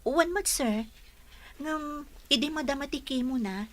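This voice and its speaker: A woman's voice: sits high, a little slow. A woman playing a dutiful, anxious young caregiver in an Ilocano radio drama, polite and deferential, with an Ilocano accent. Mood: earnest. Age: young adult.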